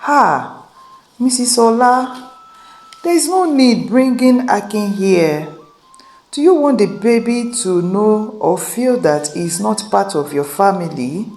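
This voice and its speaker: A woman's voice: medium pitch, lively intonation, slow pace, darkish tone, voice pushed up. A woman voicing a firm, pleading elderly grandmother in a folktale. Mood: firm, disapproving. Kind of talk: acted folktale dialogue.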